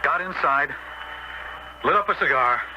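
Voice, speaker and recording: A man's voice: on the high side, expressive, a little slow, muffled recording. An actor playing an upright, preachy man troubled by his crooked brother, in 1970s radio drama style. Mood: grave recounting. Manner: earnest, moralizing. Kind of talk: 1970s radio drama acting with first-person narration. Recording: noisy old 1970s radio broadcast.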